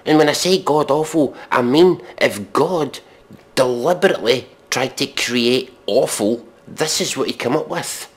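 A man giving a mock dramatic reading in deadpan, with exasperated, scornful, sweary commentary. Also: medium pitch, unhurried. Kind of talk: deadpan recitation of pop lyrics with sweary commentary.

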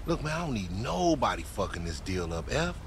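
An actor playing a loud, boastful young street hustler with an American accent, slangy, profane and teasing, in a video game cutscene. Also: male voice, lowish voice, big pitch swings, fairly quick, background noise. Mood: cajoling.

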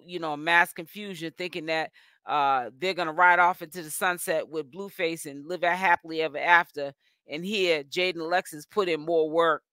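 A woman's voice: lowish voice, bright, forceful tone, no echo, studio quality. A middle-aged woman from Boston with a Boston accent, hosting a casual live commentary and talking emphatically to her viewers. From Boston, Massachusetts. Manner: chatty, emphatic, calls viewers honey. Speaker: commentary channel host.

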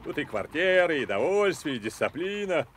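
An actor playing a loyal, earthy Cossack servant with folksy, deferential speech in a radio play. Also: male voice, fairly high pitch, sing-song, normal speed, a bit bright. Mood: hearty, respectful. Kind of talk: Soviet radio play acting. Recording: noisy vintage Soviet radio drama recording.

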